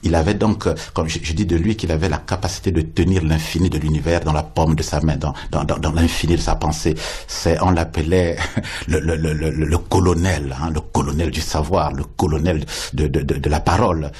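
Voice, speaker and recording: Man, very deep voice, husky, lyrical unhurried phrasing, poet interview guest. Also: darkish tone. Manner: slow, lyrical, repeats words while searching. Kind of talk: reflective radio interview.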